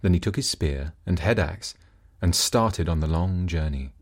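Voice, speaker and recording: A man's voice: low-pitched, measured pace, breathy edge. A man reading a fable aloud as a scripted storybook narration.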